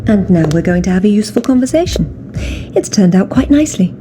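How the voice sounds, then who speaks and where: A woman's voice: mid-range voice, lively intonation, fast, background noise, natural voice. A woman playing a confident, aristocratic adventuress in a video game, poised and determined with a dry wit. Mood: dry, brisk. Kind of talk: video game cutscene voice acting. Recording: loud music behind the voice.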